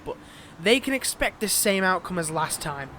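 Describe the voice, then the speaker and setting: Over noticeable outdoor-like background noise comes a man acting a high-pitched male villain in a romance audio drama. He is smug and possessive toward a former lover, mixing theatrical flirting with veiled, taunting threats. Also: big pitch swings, normal speed. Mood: smug, menacing.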